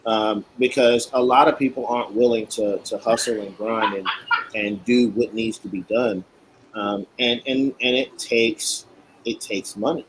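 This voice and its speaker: A man's voice: lowish voice, steady tone, measured pace, background noise. Straight-talking New man. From Queens, New York. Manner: straight-talking, emphatic, many restarts. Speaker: guest. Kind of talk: casual chat.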